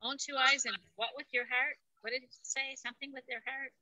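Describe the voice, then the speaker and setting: A woman's voice: high-pitched, level tone, a little fast, pushed and bright, no room sound, dull audio. A woman listening as a co-host, offering casual reactions and short questions.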